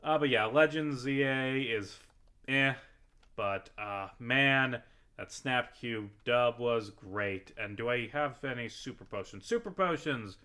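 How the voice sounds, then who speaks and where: A man commentates on his video game in a casual, rambling way. His voice is bright and forward, at an average pitch, and he takes his time, thinking out loud and talking to the game as he goes, swearing casually. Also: slow pace, clean tone.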